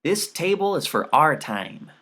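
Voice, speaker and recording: A man's voice: on the high side, very animated, measured pace, pushed and bright, pitched above the performer's own voice, full character voice. Middle-aged family dad, read by a male narrator: affectionate, earnest, a bit goofy, audiobook fiction. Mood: cheerful declaring. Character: affectionate, jokey, forgetful middle-aged father, eager and earnest.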